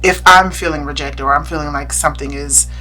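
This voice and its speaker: A woman's voice: deep voice, fairly quick, rough recording. A woman in her thirties, a podcast guest, speaking candidly and chattily. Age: 30s. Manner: candid, chatty, animated storytelling. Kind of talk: casual podcast chat.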